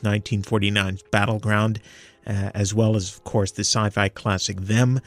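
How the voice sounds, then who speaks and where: A man giving prepared, articulate commentary as a listener, measured and analytical in his delivery. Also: fairly low pitch, no room sound. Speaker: listener sending in a review.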